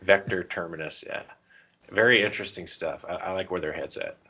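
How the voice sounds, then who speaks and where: A man's voice: low voice, fairly quick, pushed and bright, husky, dull audio. A man co-hosting a podcast in casual chat, joking and self-deprecating. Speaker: podcast co-host.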